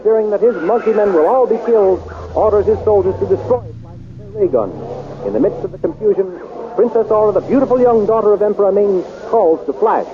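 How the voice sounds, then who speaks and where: A man's voice: high voice, animated, fairly quick, phone-quality sound. A man narrating a 1930s radio serial in a dramatic, declamatory style, on a crackly old recording.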